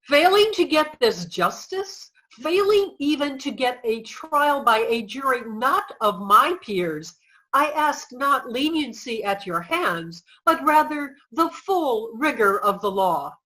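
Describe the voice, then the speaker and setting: Casting: woman playing a dignified suffragist defendant, forceful, higher voice, oratorical stage delivery. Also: expressive, heavily acted, poor audio. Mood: defiant. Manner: oratorical, emphatic, deliberate. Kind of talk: reader's theater courtroom speech.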